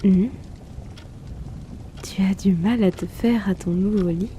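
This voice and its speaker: A woman voicing an aloof, wealthy hypnotist in a whispered ASMR roleplay, speaking softly and teasingly into a close mic. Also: mid-range voice, fairly even intonation, muffled recording, slight background noise. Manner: soft teasing whisper, unhurried.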